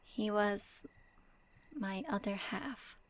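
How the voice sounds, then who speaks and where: A woman playing a gentle, thoughtful young swordswoman traveler, speaking softly to the listener in a fan audio roleplay. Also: mid-range voice, drawn-out words. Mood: wistful, tender. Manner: soft, reflective, addresses listener directly.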